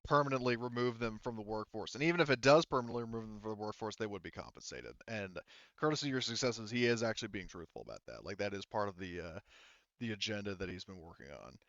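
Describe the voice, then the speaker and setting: A man with a smooth, fairly low voice works as a game master, improvising story and rulings as he goes. He talks haltingly, looping back with asides. The sound is dry and slightly dull. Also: expressive, fairly bright tone, no room sound. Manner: halting, circling explanations with frequent hedging asides. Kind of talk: improvised narration and rules talk for a tabletop roleplaying game.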